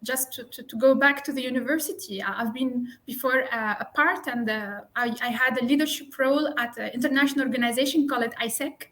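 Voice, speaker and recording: High-voiced Tunisian-born woman chatting. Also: fairly quick, hardly any pauses, fairly bright tone, a little hiss or hum. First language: Arabic. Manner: chatty, frequent restarts and light laughs. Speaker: guest entrepreneur. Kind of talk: casual interview conversation.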